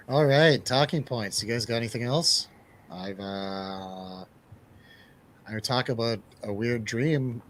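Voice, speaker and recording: A man hosting a casual movie review radio show, bantering in a playful, jokey manner. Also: mid-range voice.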